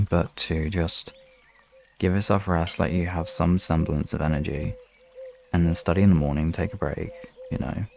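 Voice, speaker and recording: A man's voice: low voice, creaky, darkish tone, a little hiss or hum. A man hosting a casual livestream, talking to viewers in an informal, rambling way. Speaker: livestream host.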